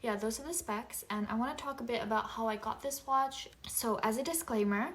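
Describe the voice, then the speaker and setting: A woman's voice: on the high side, brisk. Chatty woman with a raised voice. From Japan. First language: Japanese. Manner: chatty, conversational, frequent filler words. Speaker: vlogger. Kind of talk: casual unboxing chat.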